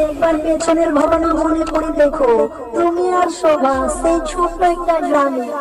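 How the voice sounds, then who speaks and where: A woman's voice: extremely high pitch, flat delivery, measured pace, over loud music, rough recording. A woman voicing a sinister ghostly aunt who gloats and cackles over a trapped soul. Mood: gloating.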